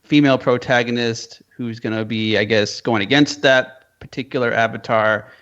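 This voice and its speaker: A man's voice: mid-range voice, steady tone, moderate pace, fairly bright tone, dull audio. A man co-hosting a casual podcast, rambling and hedging with lots of fillers.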